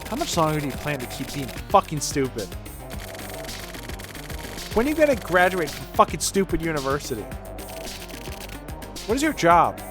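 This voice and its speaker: A man's voice: on the high side, very animated, normal speed, pauses often. A man voicing a sarcastic, foul-mouthed senior detective who scolds a partner, reading game dialogue aloud. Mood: exasperated. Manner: sarcastic, scornful, profane. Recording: music playing behind the voice.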